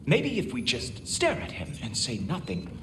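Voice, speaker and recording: A man acting as a smug, condescending servant of a god-king in a video game, drawling deliberately. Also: mid-range voice, huge pitch swings, fairly bright tone, a little hiss or hum, low-quality recording. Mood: smug, disdainful.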